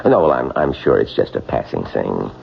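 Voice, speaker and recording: An actor playing a kindly, concerned office boss in an old radio drama, with a measured, slightly halting, reassuring manner. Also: male voice, very deep voice, sing-song, vocal fry, heavily acted. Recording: muffled old radio recording, faint noise.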